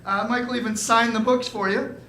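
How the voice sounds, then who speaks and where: A woman's voice: on the high side, steady tone, brisk, a bit bright, slight background noise. A woman announcing a live radio show with a playful, salesy manner. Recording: roomy live stage recording, music behind.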